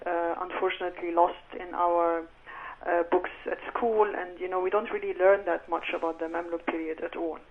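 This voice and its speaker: Woman on a tinny phone line. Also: lowish voice. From Egypt. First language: Arabic. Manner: thoughtful, measured, with fillers. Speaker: novelist and academic guest. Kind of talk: radio interview answers. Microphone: telephone.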